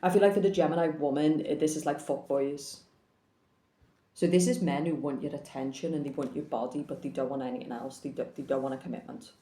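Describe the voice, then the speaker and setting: A woman's voice: low-pitched, steady tone, brisk, warm tone. Blunt woman reading tarot, echoey room. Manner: blunt, frank, swears casually. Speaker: tarot reader. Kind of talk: casual tarot reading to camera.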